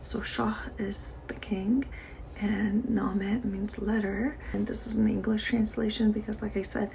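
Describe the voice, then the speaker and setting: Soft-spoken woman with vocal fry. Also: medium pitch, flat delivery, unhurried, a little hiss or hum, rough recording. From Iran. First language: Persian. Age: 40s. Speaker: vlogger. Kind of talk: casual diary chat to camera.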